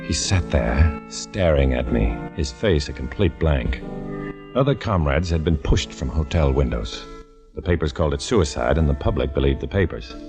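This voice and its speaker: A man's voice: a bass voice, lively intonation, normal speed, music in the background. An actor playing a tough undercover agent who narrates his own story in a 1950s radio drama, with terse, hard-boiled delivery. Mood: grim narration. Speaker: narrator and lead.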